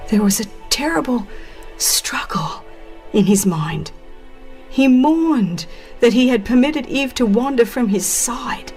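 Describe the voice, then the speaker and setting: A woman reading a dramatized religious audiobook as a narrator, in a solemn, measured and expressive storytelling manner. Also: on the high side, faint music behind.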